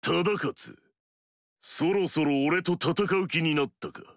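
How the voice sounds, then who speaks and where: An actor playing an arrogant, battle-hungry warrior in a video game, curt and scornful with a gravelly edge. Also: male voice, a little high, slow pace, no room sound, clean studio sound. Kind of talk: video game story dialogue.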